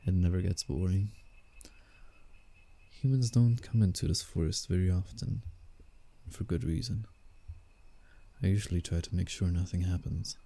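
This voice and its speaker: A man playing a commanding, teasing alpha werewolf in a roleplay ASMR, with menace in his delivery. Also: full character voice. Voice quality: dark, gravelly low voice. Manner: slow, deliberate, teasing menace. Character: male alpha werewolf, predatory, teasing, commanding forest protector.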